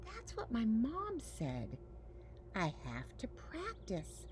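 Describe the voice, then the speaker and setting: A woman voicing a young male lightning bug, earnest, determined and excitable, repeating words emphatically in a storybook reading. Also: a little high, slow, background noise, pitched above the performer's own voice, full character voice.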